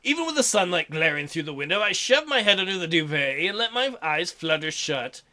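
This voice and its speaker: A man's voice: sits high, sing-song, fairly quick, bright, forceful tone. A man doing a let's play of a visual novel, reading it out and throwing in irreverent, crude wisecracks. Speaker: let's player.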